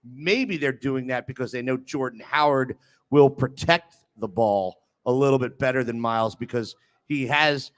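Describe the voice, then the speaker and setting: A man's voice: medium pitch, normal speed. A man on a sports talk show discussing football in an emphatic, argumentative way.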